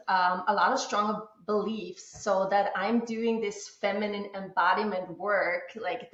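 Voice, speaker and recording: A woman from Austria with an Austrian German accent, German her first language, calmly telling her story as a podcast guest from a home office. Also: mid-range voice, reverberant, dull audio. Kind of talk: podcast interview.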